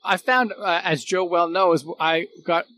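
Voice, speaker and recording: A man's voice: sits high, fairly even intonation, a little slow, sharp tone. A middle-aged man in a casual unscripted conversation as a guest, thinking aloud as he goes. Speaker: former radio recording engineer, podcast guest.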